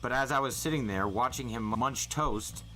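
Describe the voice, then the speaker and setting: A man narrating a first-person novel aloud in an expressive storytelling way. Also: mid-range voice, normal speed, faint music behind, rough recording. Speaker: podcast host reading a novel aloud. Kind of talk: first-person audiobook-style narration with acted dialogue.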